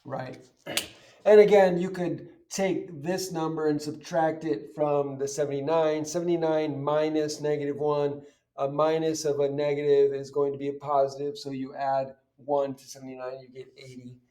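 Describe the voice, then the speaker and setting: Mellow-voiced male teacher, slightly distant mic. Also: fairly high pitch, level tone, moderate pace. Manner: explanatory, poses questions and answers them. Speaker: chemistry teacher. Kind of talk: informal step-by-step lesson, worked examples.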